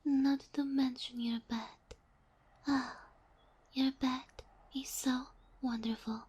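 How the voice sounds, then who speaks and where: A woman voicing a sweet, shy, submissive fox spirit familiar in an ASMR roleplay, with a soft, breathy voice that often drops to a whisper and a gentle, bashful way of talking. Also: high-pitched, level tone, takes it slowly, full character voice. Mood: dreamy, content. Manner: gentle, bashful, flustered hesitations.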